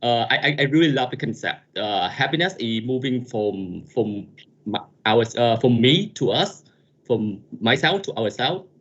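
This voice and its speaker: A man asks a long question at a middle pitch. He keeps stopping and repeating words while he looks for the right phrasing. His voice comes through dull and muffled, like audio over a call. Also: lively intonation, a little slow, fairly bright tone. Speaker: audience member asking a question. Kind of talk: asking a question in an online talk.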